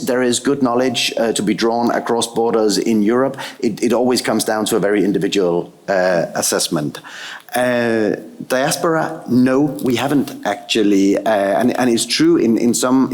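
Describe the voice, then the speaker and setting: A man on a conference panel answering audience questions about mentoring, thoughtful and halting with frequent restarts. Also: mid-range voice, darkish tone. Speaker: panel speaker, mentoring expert.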